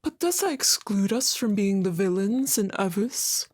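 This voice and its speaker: An actress playing a secretive, brooding collector, delivering a measured, theatrical monologue in an audio drama. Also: female voice, medium pitch, lively intonation, darkish tone, dry recording, clean studio sound. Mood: musing. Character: brooding, secretive female collector musing on stories.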